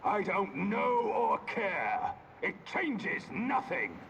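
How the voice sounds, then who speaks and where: Gravelly, arrogant cyborg villain. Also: male voice, high voice, wildly swooping pitch, extremely slow, dull audio, background noise, full character voice. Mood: cold, defiant. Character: cold, arrogant steel-bodied villain, fanatically loyal. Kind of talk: dramatic video game acting.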